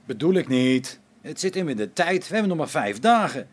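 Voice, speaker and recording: A man's voice: fairly high pitch, sing-song, a little fast. A man playing a grumbling, sarcastic space-station technician in a radio play, complaining with exasperation. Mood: impatient. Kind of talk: Dutch radio play acting.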